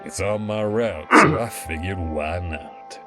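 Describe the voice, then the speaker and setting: A man voicing a sleazy, flirtatious middle-aged trucker with a drawn-out, swaying, leering delivery over guitar music. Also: deep voice, voice pushed down. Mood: boastful.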